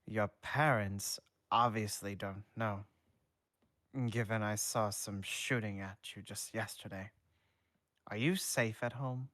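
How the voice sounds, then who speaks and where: A man voicing a stern but caring schoolteacher in a fan fiction reading, slow, formal and measured. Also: fairly low pitch, steady tone, a bit bright, no echo, studio quality. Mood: concerned.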